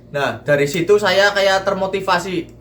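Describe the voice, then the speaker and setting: Relaxed young man with ngapak accent. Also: sits high, moderate pace, slight background noise. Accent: Banyumasan Javanese (ngapak). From Cilacap, Central Java. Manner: relaxed, chatty, mixes dialect into Indonesian. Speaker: vlogger and host. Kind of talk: casual group Q&A chat.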